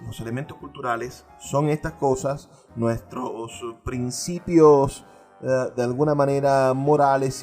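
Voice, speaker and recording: A middle-aged man hosts a radio program at an ordinary pitch, thinking aloud with pauses and restarts. His words carry an earnest, reflective weight, and soft instrumental music sits faintly behind him. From Venezuela. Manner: earnest, musing, with frequent pauses and repeated phrases. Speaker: radio host.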